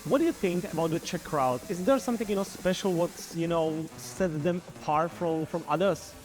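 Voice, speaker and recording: Male interviewer with a fairly high voice, effusive thanks and halting questions, faint music behind. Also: a little mellow. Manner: friendly, repeats thanks, halting questions with you know. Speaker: festival interviewer. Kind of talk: festival interview.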